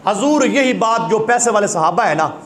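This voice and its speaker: A man's voice: high voice, lively intonation, moderate pace, fairly bright tone. A male preacher with a sore throat, giving an impassioned sermon that breaks into recited verse. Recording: echoey hall.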